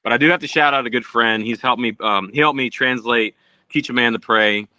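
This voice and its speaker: A man's voice: medium pitch, fairly quick, bright, forceful tone, dry recording, low-quality recording. A man from Puerto Rico, a musician, chatting casually and earnestly as a podcast guest. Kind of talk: casual interview chat.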